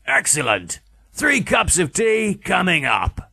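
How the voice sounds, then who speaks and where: A man voicing a bluff, chatty middle-aged estate agent with a gravelly, jovial manner in an audiobook. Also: sits high, huge pitch swings, slow pace, voice pushed up, full character voice. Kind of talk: audiobook character voice.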